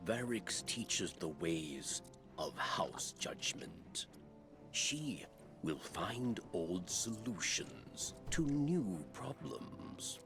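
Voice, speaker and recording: An actor voicing an ancient male alien elder, gravelly and creaky, who speaks in slow, terse, broken phrases. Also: lowish voice, lively intonation. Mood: solemn. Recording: game soundtrack under voice. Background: music.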